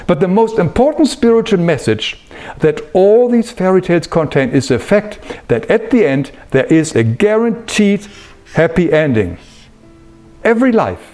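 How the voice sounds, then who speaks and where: A man delivers a spiritual lesson in a fairly high voice that rises and falls expressively. He is earnest and emphatic, setting out his points in measured phrases. Soft music accompanies him. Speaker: spiritual teacher. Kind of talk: explanatory spiritual talk.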